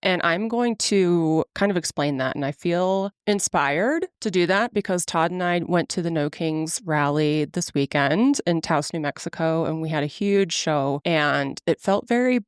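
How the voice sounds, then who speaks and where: A woman's voice: lowish voice, a little slow, hardly any pauses, smooth, dry recording, studio quality. A woman in her early forties hosting a podcast, talking in an earnest, chatty way and laughing easily. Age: 40s. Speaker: podcast host. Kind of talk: casual podcast chat.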